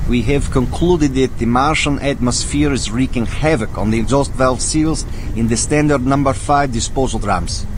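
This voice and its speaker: An actor playing a businesslike hazmat team member dictating a game audio log in a formal, matter-of-fact way. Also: male voice, medium pitch, natural voice. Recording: clear machinery noise behind the voice.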